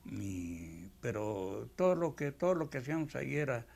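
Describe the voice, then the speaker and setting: A man's voice: medium pitch, moderate pace, gravelly, heavy background noise. An elderly man in his eighties with a Mexican accent, casually reminiscing as a veteran animator. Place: small room at home, indoors. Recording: music over the voice. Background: loud music.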